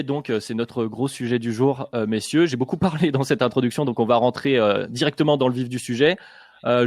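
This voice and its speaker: A man's voice: mid-range voice, dry recording, clean studio sound. Chatty man hosting a podcast. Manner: chatty, hesitant with many fillers. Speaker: podcast host. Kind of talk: casual podcast discussion.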